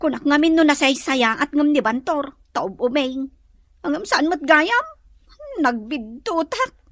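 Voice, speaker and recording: A troubled young wife in a radio drama, brooding and scheming. Her voice is very high with big melodramatic swings. The sound is close and dry. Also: animated, unhurried, no room sound. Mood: upset, brooding.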